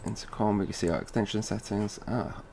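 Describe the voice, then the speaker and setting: Low-voiced man giving an informal software walkthrough. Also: steady tone, brisk, vocal fry. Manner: casual, thinking aloud step by step. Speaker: tutorial presenter. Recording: noisy room with keyboard typing.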